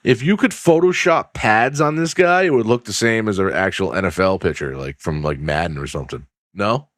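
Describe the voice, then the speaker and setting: A low-pitched man hosting a sports podcast in a dry, close-miked sound. He talks loosely and swears a lot, reading news stories aloud and breaking off to add his own remarks. His voice is wearing hoarse. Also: normal speed, fairly bright tone, no echo. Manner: profane, rambling, reads news aloud with asides. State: losing his voice. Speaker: podcast host. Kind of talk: casual sports podcast chat.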